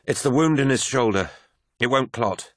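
A man's voice: mid-range voice, normal speed, dry recording, studio quality, pitched above the performer's own voice, close to an everyday voice. A man voicing a harried, defiant military surgeon in an audiobook, speaking urgently and snappishly. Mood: grave, baffled. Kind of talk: dramatised audiobook dialogue.

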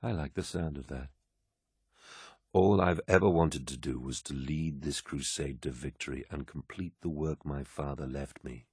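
Guy doing a super deep raspy warlord for an audiobook, grave, proud, imperious, dry sound. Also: normal speed, no echo, exaggerated voice. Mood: wistful. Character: commanding, brooding armoured male warlord, proud and grand.

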